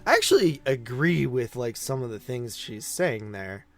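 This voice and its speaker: A man's voice: mid-range voice, big pitch swings, moderate pace, faint music behind. A man co-hosting a podcast, chatting casually about movies in loose, conversational phrasing that often circles back on itself. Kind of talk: casual film review chat.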